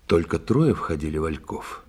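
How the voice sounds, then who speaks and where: An actor in a radio play voicing a composed, modest psychiatrist sleuth, courteous, analytical and measured in delivery. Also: male voice, very deep voice, big pitch swings, a little mellow, slightly rough, exaggerated voice.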